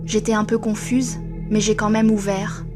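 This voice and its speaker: A woman reading a first-person horror story in a steady, even storytelling manner. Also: mid-range voice, moderate pace, music in the background. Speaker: narrator.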